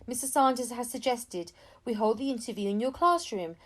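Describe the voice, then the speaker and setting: Woman reading as a brisk, loving, higher-voiced mum. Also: a bit bright. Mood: brisk, pleased. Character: loving, decisive, brisk mother of a young child.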